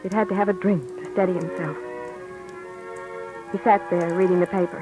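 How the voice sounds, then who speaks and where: A woman's voice: lowish voice, fairly even intonation, brisk, over music, close to an everyday voice. A woman playing a weary, tearful young wife of a heavy drinker, in an old radio drama with bitter, sarcastic lines and pleading. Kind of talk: 1940s radio acting. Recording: faint noise on an old radio recording.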